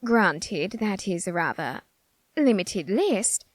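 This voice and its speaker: Casting: female actor as haughty, flamboyant otherworldly diva for ASMR roleplay; slow, theatrical, sarcastic drawl, very animated intonation. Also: mid-range voice, dry recording, clean studio sound. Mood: intrigued, condescending. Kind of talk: scripted ASMR character roleplay monologue.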